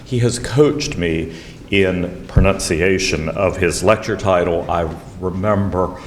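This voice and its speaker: An older man with a Southern US accent stands at a lectern introducing the next speaker, his low voice carrying through a reverberant hall over faint background hiss. He mixes a formal introduction with chatty, wry jokes at his own expense. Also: slow. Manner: wry, chatty, self-deprecating asides. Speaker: event host introducing the speaker. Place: lecture hall.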